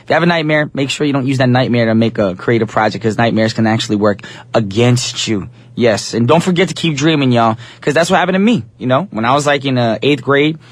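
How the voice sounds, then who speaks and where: A young man hosts a radio show with a slightly husky voice. He talks in a relaxed, playful way, dropping a casual "y'all" now and then, close on a studio mic. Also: medium pitch, fast, few pauses, no room sound. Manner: easygoing, playful, says y'all.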